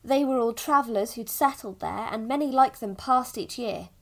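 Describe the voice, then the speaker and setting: A woman playing a patient village mother in an audio drama, softly coaxing and a little evasive about the past. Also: on the high side, normal speed, lightly acted. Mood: gentle, explaining.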